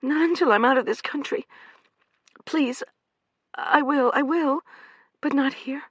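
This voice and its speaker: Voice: sits high, measured pace, fairly bright tone, dry recording, pitched above the performer's own voice. An audiobook reader voicing a frightened young American woman on the run, tearful and pleading. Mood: desperate.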